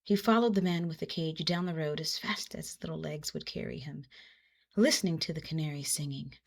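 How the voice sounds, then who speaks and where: A woman storyteller narrating a children's folktale in an expressive style. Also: sits low, a little fast, gravelly, breathy edge. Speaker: storyteller and host. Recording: music behind the voice.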